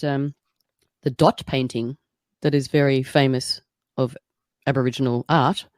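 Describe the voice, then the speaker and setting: A man hosts a podcast in a chatty, animated way, with plenty of fillers between his points. His voice sits in a middle pitch and sounds dry, with little room around it. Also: dry recording. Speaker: podcast host. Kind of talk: casual chat.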